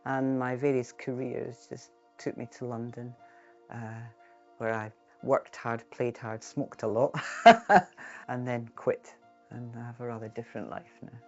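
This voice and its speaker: A woman's voice: rumbling low, fairly even intonation, a little slow. A woman from Edinburgh with a Scottish accent, candidly telling her own story as a former smoker in a reflective way. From Scotland, Edinburgh. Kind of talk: personal testimonial. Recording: muffled with faint background music. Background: faint music.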